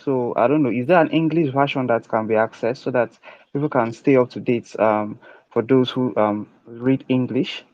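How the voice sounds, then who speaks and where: Host voice: a man of middle pitch with a very dry, dull lo-fi call sound, halting and filler-heavy as he asks questions. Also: dry recording. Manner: hesitant, many "um" fillers. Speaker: AMA host. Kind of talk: online crypto AMA questioning.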